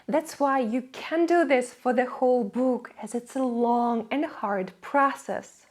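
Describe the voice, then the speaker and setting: A young woman whose first language is Russian, giving a scripted English lesson to camera with friendly, playful asides. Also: a little high. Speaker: English teacher.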